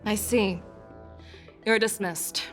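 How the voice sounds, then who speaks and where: A woman voicing a composed, confident military envoy in an anime game, speaking in a formal, measured, authoritative way. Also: mid-range voice, fairly even intonation, faint music behind, lightly acted. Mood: resolute.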